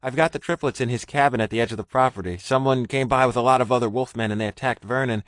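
A man voicing a nervous young man who talks in a rushed, frantic way and stammers. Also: fairly low pitch, dry recording, lightly acted.

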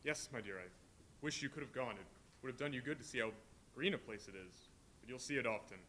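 An actor playing a gentle, grieving father speaking tenderly to his family on stage. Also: mid-range voice, quick pace, a little hiss or hum, natural voice. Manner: tender, mournful. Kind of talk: live stage play reading.

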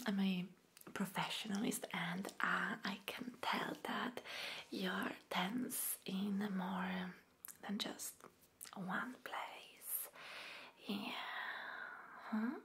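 A young woman whispering softly and soothingly in a close-miked ASMR roleplay as a massage therapist, breathy and gentle. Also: mid-range voice, very slow, long pauses. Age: young adult. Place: small dim room indoors. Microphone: close microphone off camera.

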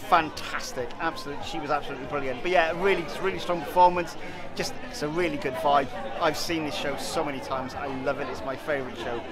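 A man's voice: fairly high pitch, fairly even intonation, quick pace, hardly any pauses, a crowd in the background. A man in his fifties, an audience member, raving enthusiastically about the show into a handheld phone in a theatre foyer. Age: 50s. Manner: enthusiastic, effusive. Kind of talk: casual vox pop. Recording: busy crowded foyer.

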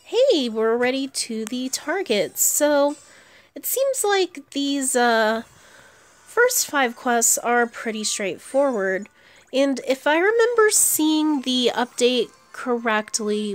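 A woman's voice: on the high side, sing-song, slow. A woman giving casual commentary on her own gameplay, thinking aloud as she plays. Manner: thinks aloud while playing. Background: video game music.

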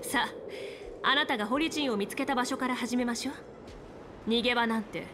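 A woman's voice: on the high side, a little fast, noisy background, lightly acted. An actress playing a confident, commanding woman general and seasoned strategist in a video game. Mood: firm, measured. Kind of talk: Japanese video game voice acting.

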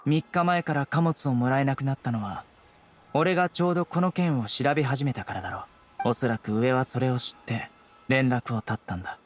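A man's voice: medium pitch, brisk, a little hiss or hum, close to an everyday voice. A man playing a stern young law enforcer in a Japanese video game, composed, blunt and commanding. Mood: stern, cool.

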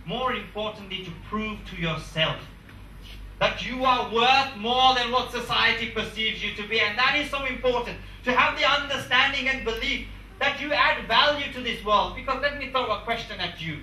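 A man's voice: very high-pitched, normal speed, sharp tone, distant mic, a little hiss or hum. A young man in his twenties giving an emphatic, repetitive motivational speech to students through a handheld mic in a large conference room. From England. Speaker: motivational speaker. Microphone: handheld mic, far camera. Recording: echoey room, recorded from afar.

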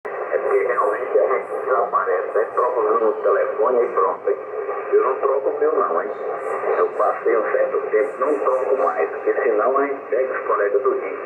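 A man's voice: lowish voice, a little fast. The voice comes through a tinny, distant-sounding radio speaker with an echo. It is a Brazilian man in casual operator chat, repeating himself and tacking questions onto his phrases. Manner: chatty, repetitive, ends phrases with tag questions. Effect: voice heard over shortwave radio. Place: radio receiver speaker filmed up close in a room. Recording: tinny, very noisy, echoey radio reception. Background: loud radio static.

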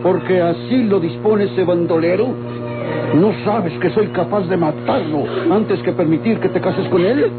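A man's voice: sits high, measured pace. An actor playing a proud, outraged rancher father in an old Mexican radio drama, declaiming indignantly with a Mexican accent. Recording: tinny, humming old radio recording with music.

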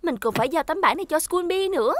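A woman's voice: very high-pitched, expressive, fast, slight background noise. A woman dubbing an eager, helpful female cartoon rescue vehicle in a chatty manner. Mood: eager, friendly. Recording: studio dub with music behind.

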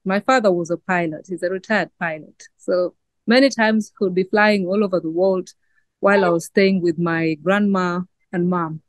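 A woman's voice: lowish voice, fairly even intonation, moderate pace, dry recording. A young Ugandan woman journalist with a Swahili-influenced East African accent, answering interview questions thoughtfully with many fillers over a video call. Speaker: guest journalist. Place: outdoors by a garden.

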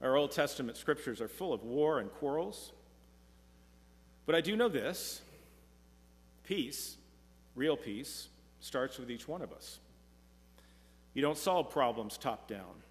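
A man's voice: medium pitch, expressive. Man preaching in a reverberant church. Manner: measured, earnest preaching, slowing for scripture. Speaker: pastor. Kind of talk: sermon. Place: church sanctuary, speaking from a pulpit. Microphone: pulpit mic, far camera.

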